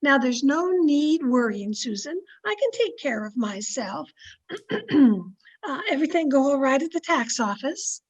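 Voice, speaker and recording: A woman playing a sly, flattering old aunt in an old-time radio comedy, coy and sweetly confiding. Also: sits high, a little slow, highs cut off. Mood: innocent, sly. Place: home, online video-call production.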